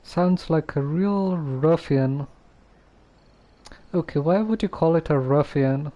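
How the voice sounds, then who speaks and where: A man casually live streaming a game, reading dialogue aloud and commenting in a halting, musing way. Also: a little high, warm tone. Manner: halting, musing, repeats phrases.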